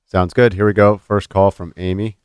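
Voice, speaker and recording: A man's voice: on the low side, little pitch movement, normal speed, darkish tone, smooth, no room sound, studio quality. A man co-hosting a podcast, making brief, easygoing interjections. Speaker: podcast co-host.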